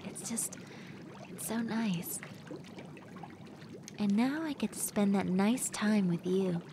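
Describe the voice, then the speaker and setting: A woman acts out a friendly young woman relaxing with her crush in an audio roleplay. She speaks slowly at a medium pitch, intimate and gently teasing. Hot tub water runs clearly behind her voice. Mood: relaxed, content. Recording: steady running water noise behind voice. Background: bubbling hot tub water sounds.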